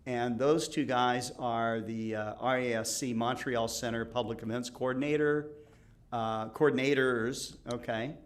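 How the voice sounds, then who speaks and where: Host, man, 60s, rambling casual talker, mid pitch. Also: steady tone, unhurried. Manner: rambling, laughs easily, many fillers. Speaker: show host. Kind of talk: casual chat.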